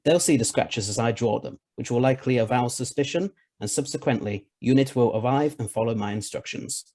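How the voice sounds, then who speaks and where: A man playing a self-assured, principled time-travelling scientist in an audio drama, speaking formally and with measured authority. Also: mid-range voice, a little mellow, close to an everyday voice. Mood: brisk, assured.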